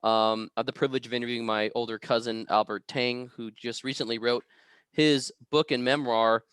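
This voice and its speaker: A man from the United States hosting an informal online interview as the interviewer, speaking in a halting, hesitant way. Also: medium pitch, steady tone, fairly bright tone, clear, resonant tone, no room sound.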